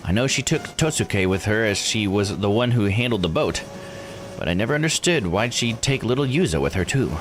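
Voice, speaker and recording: A man voicing an earnest, grieving villager from a visual novel, in a measured delivery. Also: on the low side, animated, background noise, lightly acted. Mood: puzzled, solemn. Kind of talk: reading visual novel dialogue aloud. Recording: music under the voice.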